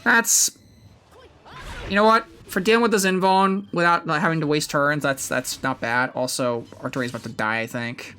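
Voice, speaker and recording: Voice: mid-range voice, fairly even intonation, normal speed, pushed and bright, clean tone. A game streamer chatting casually on a live stream, thinking aloud hesitantly with mild swearing. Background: music.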